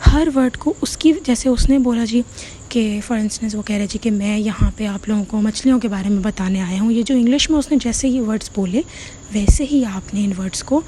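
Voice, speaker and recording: A woman's voice: a little high, normal speed, dark tone, a little hiss or hum. A woman from Pakistan with a Pakistani Urdu accent, giving casual English-learning advice to viewers, often checking in with "theek hai?" and mixing in English words. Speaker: online English teacher.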